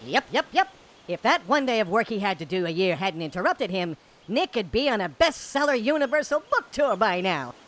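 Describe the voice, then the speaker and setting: A woman's voice: medium pitch, sing-song, brisk, fairly bright tone. A woman playing a chipper, wry reindeer who serves as a loyal assistant in a comedy audio drama. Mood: wry, eager.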